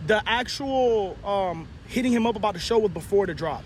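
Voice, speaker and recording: Laid-back young rapper, high voice. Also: male voice, lively intonation, normal speed, a little hiss or hum. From Orlando, Florida. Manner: laid-back, slangy, says "you feel me" often. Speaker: rapper guest. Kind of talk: casual chat.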